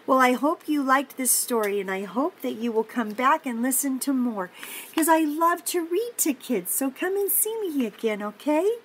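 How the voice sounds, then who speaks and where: An older woman reading a picture book aloud to young children, gently and encouragingly, with chatty asides and questions to the listener. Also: high-pitched, lively intonation, moderate pace, rough recording. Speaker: children's story reader and host. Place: indoor home office. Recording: clear background noise, room ambience.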